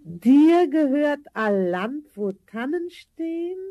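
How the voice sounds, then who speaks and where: A woman playing a worried, homely older mother in a 1970s fairy-tale audio drama, speaking in a halting, motherly way. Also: sits high, very animated, dry recording, dull audio, full character voice. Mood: reminiscing.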